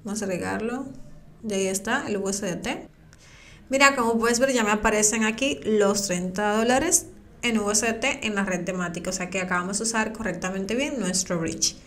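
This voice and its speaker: A woman narrating a screen-recorded software tutorial, patiently explaining each step and speaking directly to her viewers. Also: mid-range voice, normal speed, slight background noise. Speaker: crypto tutorial creator. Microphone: voice-over on screen recording.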